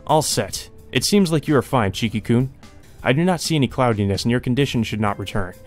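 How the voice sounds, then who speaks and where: A man's voice: medium pitch, lively intonation, brisk, faint music behind, natural voice. A man voicing a kindly doctor in a visual novel, reassuring and mildly chiding a patient. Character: kindly doctor giving a patient the all-clear.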